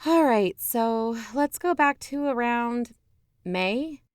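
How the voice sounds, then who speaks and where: An actress playing a suspicious, self-justifying investigator who hosts a podcast, narrating in an emphatic, confiding, conversational way. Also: female voice, a little high, lively intonation, slow pace, clean tone, dry recording, clean studio sound, soft background music. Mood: explanatory. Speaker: podcast host.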